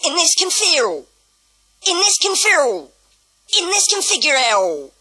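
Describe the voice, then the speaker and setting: A woman's voice: very high voice, big pitch swings, unhurried, pushed and bright, exaggerated voice. A woman voicing a formal, literal robot that stutters and repeats its words like a malfunctioning machine, in an audio drama. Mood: glitching formal.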